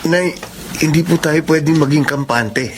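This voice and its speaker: An actor playing a wary, suspicious grown son with an urgent manner in a Filipino radio drama. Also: male voice, fairly high pitch, moderate pace, natural voice. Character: wary, suspicious grown child reporting to mother. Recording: loud ambient noise behind voice.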